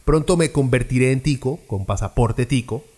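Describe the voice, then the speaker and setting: A man's voice: mid-range voice, normal speed, darkish tone. A man from Peru hosting a podcast, who delivers opinionated political commentary in a blunt, sarcastic way with frequent fillers. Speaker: podcast host.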